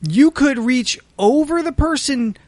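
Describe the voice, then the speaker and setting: A man's voice: extremely high pitch, animated, measured pace, a little mellow, dry recording. A man podcast co-host telling stories in casual chat, swearing freely as he goes.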